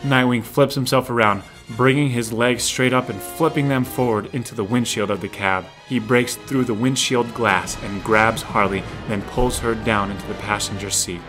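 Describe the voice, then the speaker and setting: A man's voice: mid-range voice, steady tone, moderate pace, hardly any pauses, rough recording. A man narrating a scripted audio drama, describing the action as the narrator. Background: music.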